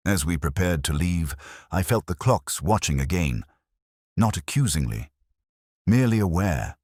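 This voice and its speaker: A man playing a brooding, weary police inspector, narrating a literary detective audio drama in measured, contemplative phrases. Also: rumbling low, dry recording, studio quality, heavily acted.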